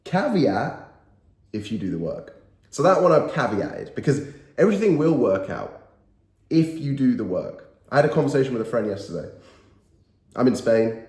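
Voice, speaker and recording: A man's voice: mid-range voice, sing-song, normal speed, long pauses, darkish tone. Nasal man in an echoey room. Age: 30s. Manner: earnest, emphatic, repeats key phrases. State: nasal, recovering from a cold. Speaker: motivational YouTuber. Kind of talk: motivational talk to camera. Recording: roomy echo.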